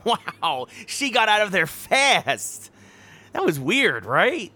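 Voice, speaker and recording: An actress playing a young, socially savvy college friend in an audio drama, casual and teasing in a naturalistic way. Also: female voice, medium pitch, sing-song, slow pace, sharp tone, breathy edge, heavily acted. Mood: puzzled.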